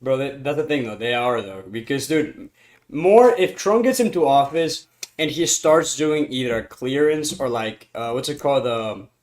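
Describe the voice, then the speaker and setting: A man's voice: medium pitch, moderate pace, darkish tone. Laid-back man, slangy and agreeable. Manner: casual slang, agreeable, rambling with restarts. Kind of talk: casual podcast chat.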